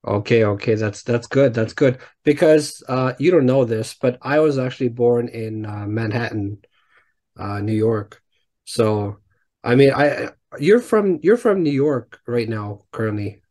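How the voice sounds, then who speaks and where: A young man hosting a podcast interview from home on a headset, speaking haltingly with many restarts. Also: mid-range voice, expressive, darkish tone. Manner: hesitant, many fillers and restarts. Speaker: podcast host. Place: home room, indoors. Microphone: headset on video call.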